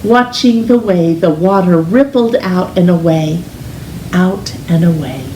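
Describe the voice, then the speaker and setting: A woman reading a children's picture book aloud in an expressive, gentle, deliberate storytelling manner, with drawn-out emphasis on words. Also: fairly low pitch. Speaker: storytime reader, teacher. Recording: roomy, distant mic with faint hiss.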